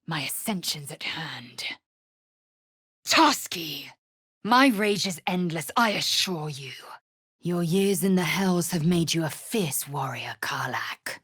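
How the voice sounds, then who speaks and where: Husky, fierce alien warrior woman. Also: low-pitched, expressive, slow, fairly bright tone, dry recording, studio quality, full character voice. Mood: brusque, observant. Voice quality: husky, raspy. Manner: clipped, imperious, formal, solemn delivery. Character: fierce, proud female githyanki alien warrior. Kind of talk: fantasy video game voice acting.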